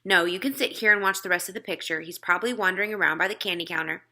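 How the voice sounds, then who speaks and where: A woman's voice: on the low side, very fast, pushed and bright. A woman voicing a practical, decisive father in a storybook, plain and matter-of-fact. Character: practical, decisive father of two young boys.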